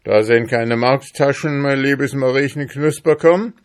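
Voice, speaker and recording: A man's voice: medium pitch, measured pace, pitched above the performer's own voice, natural voice. A man voicing a grumpy, stingy male hamster farmer in a storybook, blustering and grumbling indignantly. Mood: offended, self-pitying.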